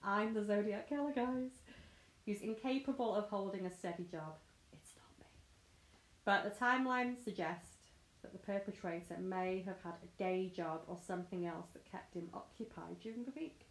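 A woman's voice: medium pitch, normal speed. British woman, young, chatty host who laughs often, recorded in a roomy space. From Britain. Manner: giggly, chatty, self-mocking. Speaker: podcast host. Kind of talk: casual true crime chat. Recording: echoey room.

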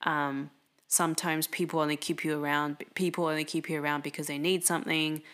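Female co-host, lower pitch, thoughtful and conversational. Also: flat delivery, a little fast, fairly bright tone. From Australia. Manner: thoughtful, reflective, filler-heavy. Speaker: podcast co-host. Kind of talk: casual conversation.

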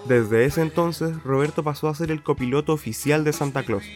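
A man narrates a radio story in a colloquial way. He has a Chilean accent and a slightly high voice. Music plays clearly underneath. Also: moderate pace, a little mellow.

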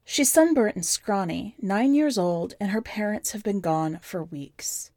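A woman reading scripted first-person fiction as a podcast narrator, with measured, deliberate phrasing. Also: medium pitch, warm tone, no room sound, studio quality. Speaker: podcast host and story narrator.

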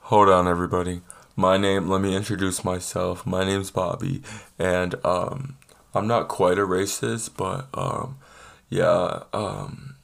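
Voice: sits low, a little slow, creaky, pitched below the performer's own voice. A podcast host voicing an awkward man who calls himself not quite racist and hesitates with lots of ums. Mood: sheepish. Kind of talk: comedy podcast bit.

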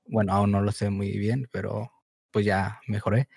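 A young man in his twenties speaks Spanish with a Mexican accent. His voice sits low and mellow, and he talks in a slow, laid-back way, full of fillers and slang. The recording is dry and close, with no room sound. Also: level tone, gravelly, breathy edge, no echo. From born in the United States, raised in Mexico. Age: 20s. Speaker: guest. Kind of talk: casual chat.

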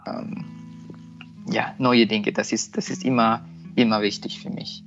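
A man with a Spanish accent talks slowly, pausing and restarting as he searches for words. The recording is dull and lo-fi, with faint guitar music underneath. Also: on the low side, fairly even intonation, a bit bright. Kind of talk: casual conversation.

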